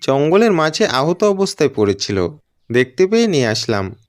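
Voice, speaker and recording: A man's voice: on the high side, very animated, moderate pace. A man voicing a poor, kindly woodcutter father in a cartoon story, homely, weary and dutiful. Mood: homely, resigned.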